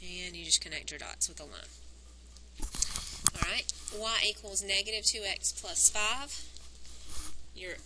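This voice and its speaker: A woman's voice: medium pitch, measured pace, pauses often, noisy background. A woman math teacher working through graphing problems step by step, counting points aloud, her voice strained from nearly losing it, recorded on a phone.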